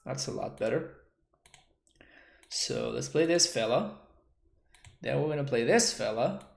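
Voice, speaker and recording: A young Argentine man streams and talks through his plays in a relaxed, chatty way. His English carries a Spanish accent, and he pauses now and then to read and answer chat. The room around him sounds echoey. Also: medium pitch, creaky, slight background noise. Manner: relaxed, chatty, reads out and thanks viewers' chat messages. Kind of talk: casual gameplay commentary.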